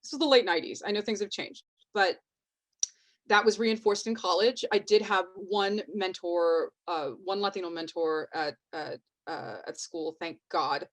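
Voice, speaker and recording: A woman with a slight Mexican American accent, a panelist on a video call, talking candidly and haltingly, with many restarts. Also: fairly low pitch. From Mexican heritage, US. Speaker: actress, panelist. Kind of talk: candid roundtable discussion.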